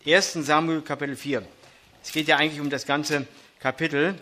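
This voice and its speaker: A man's voice: on the high side, steady tone, sharp tone, a little hiss or hum. Older guy w a bit of a berlin accent preaching in church, earnest, lots of ums. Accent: light Berlin. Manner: earnest, measured, with frequent hesitations. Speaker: traveling preacher. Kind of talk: sermon with Bible reading. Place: church hall.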